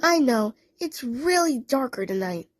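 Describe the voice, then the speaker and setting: A woman voicing a female cartoon cat, an uneasy friend confiding in halting phrases. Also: high-pitched, sing-song, no echo, clean studio sound, heavily acted. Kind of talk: fan audio play dialogue.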